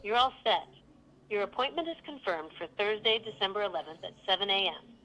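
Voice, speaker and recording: A woman's voice: medium pitch, animated, brisk, pushed and bright, low-quality recording. A synthetic woman's voice as a polite virtual assistant, giving scripted, neatly phrased customer service. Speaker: virtual phone receptionist.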